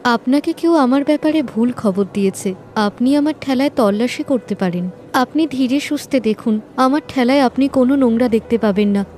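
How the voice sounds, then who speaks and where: A woman's voice: on the high side, normal speed, a little mellow, slight background noise, natural voice. A woman voicing a humble, hardworking street-seller mother in a moral-story cartoon, polite and plain-spoken. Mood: anxious, polite.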